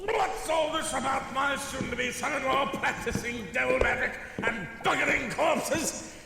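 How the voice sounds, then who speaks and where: A blustering, indignant father of the bride in a comic horror radio play. His voice is extremely high and heavily creaky, ringing in a long echo. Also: unhurried, music in the background, exaggerated voice. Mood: outraged. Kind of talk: comic horror audio drama acting. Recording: echoey, noisy, reverberant scene.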